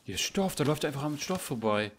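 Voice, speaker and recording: A man's voice: on the high side, animated, fast, a little hiss or hum. A man chatting casually while playing a survival game, teasing himself and his teammate with frequent tag questions. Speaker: gamer streamer. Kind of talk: casual gameplay chat.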